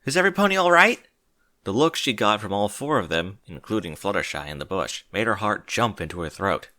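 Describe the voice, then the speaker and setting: A man's voice: deep voice, animated, a little fast, pushed and bright. A man narrating a scripted story expressively, as a reader of fan fiction.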